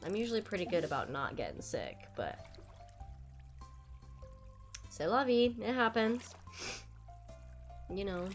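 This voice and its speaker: A woman's voice: medium pitch, normal speed, faint music behind. A young woman streaming a game, chatting casually with viewers while stuffed up and nasal from a cold. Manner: chatty, rambling, lively. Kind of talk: casual live-stream chat while gaming.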